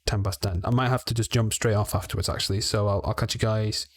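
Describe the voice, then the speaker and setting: A man's voice: on the low side, steady tone, fast, dry recording, studio quality. A man from Wales hosting a tabletop game stream, chatting casually and signing off the broadcast. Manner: chatty, thinks aloud while wrapping up. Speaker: stream host and player. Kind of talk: casual chat and stream sign-off.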